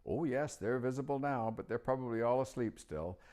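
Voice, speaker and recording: A man's voice: medium pitch, fairly quick, darkish tone, pitched above the performer's own voice, lightly acted. A man voicing a cheerful, chatty old male magician. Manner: chatty, fussy, fond.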